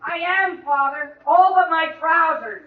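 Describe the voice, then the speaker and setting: A man playing an excitable, muddled teenage boy in a whiny, earnest way in a 1940s radio comedy. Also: very high-pitched, measured pace. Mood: flustered. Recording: muffled old radio broadcast recording.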